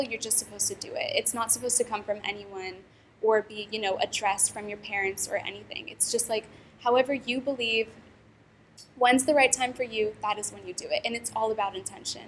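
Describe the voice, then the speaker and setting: A woman's voice: on the high side, steady tone, brisk, a little hiss or hum. A young woman of Pakistani background, a college student, answering interview questions articulately with casual fillers. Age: young adult.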